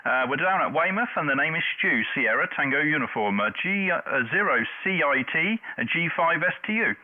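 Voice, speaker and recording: A man's voice: fairly high pitch, expressive, brisk. A middle-aged man running an amateur radio net, chatting in call signs and signal reports. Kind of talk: radio contact chat in call-sign jargon. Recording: narrow, phone-like dull audio.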